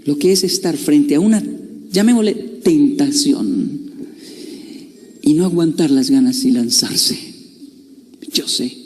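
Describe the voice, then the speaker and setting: A man's voice: high voice, darkish tone, slight background noise. Man telling a story in a hall. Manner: playful, confiding, acts out other people's voices. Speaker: speaker. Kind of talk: storytelling talk. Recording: long hall reverb.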